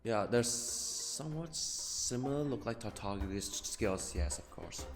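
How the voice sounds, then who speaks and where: A man giving casual live gaming commentary, thinking aloud haltingly and repeating his phrases. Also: lowish voice, expressive. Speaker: game streamer. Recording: roomy echo, faint game music behind.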